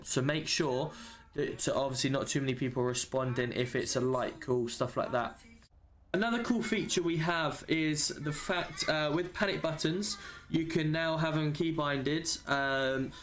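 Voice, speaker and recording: Male tutorial presenter, medium pitch, casual and practical delivery, explaining a game feature plainly. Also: fairly bright tone, slight background noise. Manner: informal, matter-of-fact explaining. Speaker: roleplay server member giving a tutorial. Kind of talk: casual screen walkthrough of a game system.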